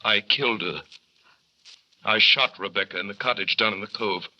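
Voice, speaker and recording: A tormented husband, bright edgy tone, muffled old radio. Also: male voice, normal speed. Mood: despairing. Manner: grave, low-spoken confession. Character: tormented guilty husband, grown man, brooding. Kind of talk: 1940s radio acting.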